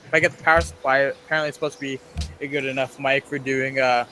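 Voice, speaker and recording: A man's voice: medium pitch, little pitch movement, quick pace, sharp tone, faint music behind. A man with a scratchy voice chatting casually and rambling as he hosts a video game let's play on a basic home microphone. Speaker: gaming let's-play host. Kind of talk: casual rambling gameplay chat. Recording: noisy home microphone recording.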